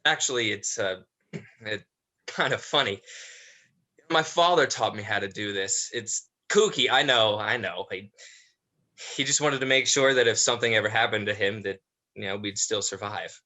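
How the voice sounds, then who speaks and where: Man acting a jittery, bright-voiced anxious killer. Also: lowish voice. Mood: awkward, nervous. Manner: halting, rambling, nervous. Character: anxious awkward eldest brother, a rambling apologetic horror killer. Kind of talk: dramatized horror play reading.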